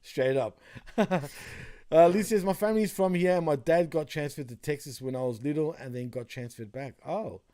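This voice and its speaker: A man's voice: fairly high pitch, moderate pace, warm tone. An Australian man co-hosting a live podcast in a laid-back, chatty way, laughing often and reading chat comments aloud. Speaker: podcast co-host.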